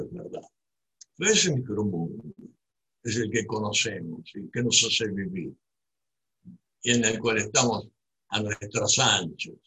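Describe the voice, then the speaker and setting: A man's voice: on the low side, sharp tone, husky, poor audio. A man from Buenos Aires with a Rioplatense accent, giving a digressive, reflective monologue full of tag questions and restarts. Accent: Rioplatense Spanish. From Buenos Aires, Argentina.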